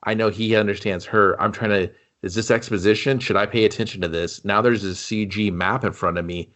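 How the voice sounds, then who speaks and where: A man's voice: on the low side, fairly even intonation, a little fast, fairly bright tone. A man co-hosting a movie review podcast, ranting in casual, profane banter. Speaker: podcast co-host.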